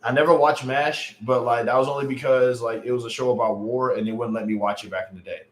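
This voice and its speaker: A man's voice: mid-range voice, fairly even intonation, fast, a little hiss or hum. Chatty man streaming in a roomy echo. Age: late 30s. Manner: loose, chatty, joking with chat, lots of fillers. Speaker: livestream host. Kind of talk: casual livestream chat reading viewer comments.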